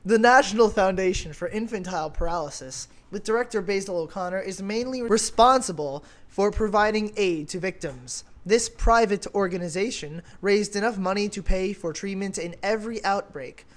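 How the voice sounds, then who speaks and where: A woman's voice: medium pitch, moderate pace, few pauses. A young woman anchors a scripted newscast parody. She reads her news copy in a mid-range voice. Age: young adult.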